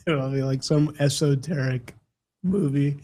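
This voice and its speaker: A man in his thirties hosting a podcast, chatting casually with friends, excitable and incredulous, laughing often and swearing freely. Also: a little high, slow. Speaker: podcast host. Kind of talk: casual banter among friends.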